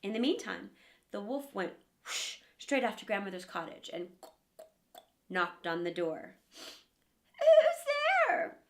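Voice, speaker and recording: A woman reading a fairy tale aloud to children as an expressive storyteller. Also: mid-range voice, a little slow, long pauses.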